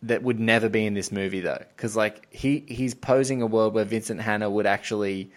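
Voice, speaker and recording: A man's voice: fairly low pitch, steady tone, fairly quick. A man hosting a podcast, chatting about film in a rambling, effusive way. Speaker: podcast host. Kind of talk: enthusiastic film discussion, casual chat.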